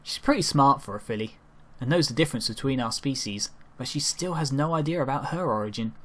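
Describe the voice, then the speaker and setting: A man's voice: medium pitch, lively intonation, brisk, fairly bright tone. A man reading a first-person story aloud as an amateur narrator, sometimes stumbling over his lines. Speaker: amateur reader narrating a fan fiction story.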